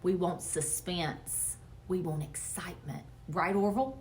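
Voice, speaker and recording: A woman's voice: fairly low pitch, measured pace, a little mellow, slight background noise, natural voice. A woman voicing a mischievous young boy with big schemes in an emphatic, theatrical storybook manner. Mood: rousing.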